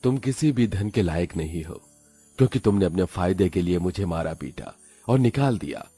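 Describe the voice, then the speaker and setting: A man voicing a gentle magical goat. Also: deep voice, animated, normal speed, slightly rough, soft background music. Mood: gentle solemn. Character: kind magical two-headed male goat.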